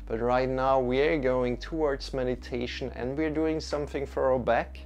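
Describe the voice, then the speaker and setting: A man's voice: mid-range voice, fairly even intonation, normal speed, a little mellow, a little hiss or hum. A young man with a Swiss accent, hosting a live-streamed yoga class and greeting viewers in a loose, friendly way with frequent restarts. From Switzerland.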